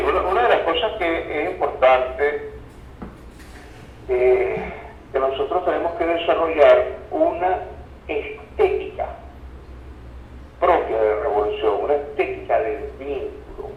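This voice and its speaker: A man explaining ideas as an academic interview guest, halting and repeating words as he searches for phrases. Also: on the high side, lively intonation. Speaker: interview guest, university professor and researcher. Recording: roomy, distant, dull sound with faint noise.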